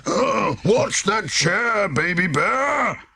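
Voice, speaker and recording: Grumpy storybook father bear: gravelly, forceful growl, slow and blustery. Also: high-pitched, lively intonation, a little hiss or hum, pitched above the performer's own voice, heavily acted. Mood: grumpy, blustering. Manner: grumbling, blustery, roaring. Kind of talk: children's storybook character reading.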